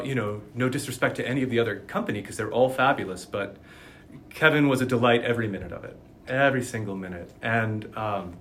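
A man's voice: mid-range voice, bright, forceful tone, slight background noise. A man working as a dialect coach, talking articulately and enthusiastically in an interview. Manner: articulate, enthusiastic, quick bursts of speech. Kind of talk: conversational interview.

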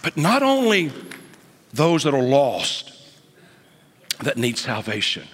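A man in his late fifties preaches slowly and deliberately, his voice swinging widely from whispered asides to high, ringing peaks. The tone is husky and a little breathy. The sound carries a long church-hall reverb. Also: huge pitch swings. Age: 50s. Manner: swings from hushed whispers to sudden high outbursts. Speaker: pastor. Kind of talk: sermon. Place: church sanctuary.

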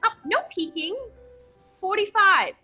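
A very high, bright voice for a ditzy, brazen young lady sleuth. She swoops around with blithe, chatty eagerness in a comic radio play. Music plays behind her in slightly muffled audio. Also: animated, measured pace, heavily acted. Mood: breezy, cheerful. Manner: breezy, chatty, blithely unconcerned. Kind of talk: comic old-time radio play acting.

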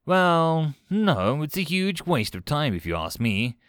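A man's voice: a little high, sing-song, slow, fairly bright tone, dry recording, studio quality, pitched above the performer's own voice, exaggerated voice. A man voicing an easygoing, slightly sheepish human office worker who talks casually and hesitantly in a story. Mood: puzzled.

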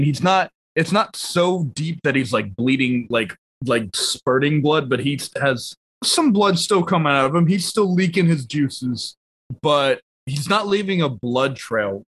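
A man's voice: mid-range voice, fairly bright tone. A man casually improvising as a player in a tabletop roleplaying game.

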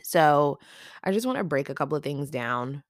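A podcast host with a raspy morning voice, chatting casually and affectionately to listeners about faith. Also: medium pitch, expressive, moderate pace, no room sound, clean studio sound.

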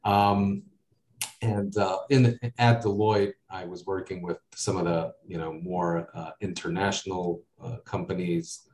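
A man's voice: sits low, level tone, unhurried, a little mellow. A man from the United States with an American accent, chatting as an interview guest in a rambling way full of fillers and asides. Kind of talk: casual interview talk. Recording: echoey room, distant mic.

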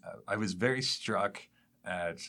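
A man with a low-pitched voice hosts a scholarly interview. He talks conversationally and thoughtfully, pausing to find his wording as he shapes questions and observations. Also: sharp tone. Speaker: podcast host, educator.

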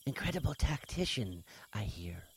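A man plays a knowing reptilian military commander in an audiobook. The voice is husky and pitched well above a deep narrator's, moving in wide arcs, yet stays composed and earnest. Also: sing-song, normal speed, full character voice. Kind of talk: audiobook character dialogue.

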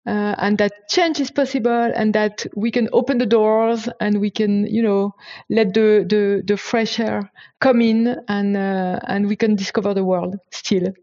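A woman's voice: medium pitch, fairly even intonation, measured pace, mellow, muffled recording. A woman from France answering interview questions, hesitant, with many fillers and restarts. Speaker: guest.

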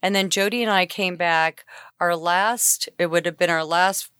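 An older woman with a raspy voice, a talkative interview guest telling stories in a rambling, casual way with fillers. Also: fairly low pitch, steady tone, moderate pace, a bit bright, dry recording, clean studio sound. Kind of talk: casual conversational storytelling.

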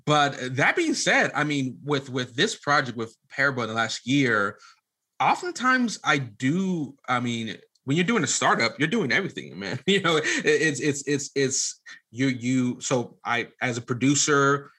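A man's voice: medium pitch, sing-song, unhurried, sharp tone. A man speaking as an interview guest in a casual, colloquial, earnest and self-deprecating way. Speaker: podcast guest, audio drama producer and director.

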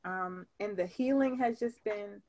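Animated woman telling her story. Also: mid-range voice, unhurried. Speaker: podcast guest. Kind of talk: casual chat.

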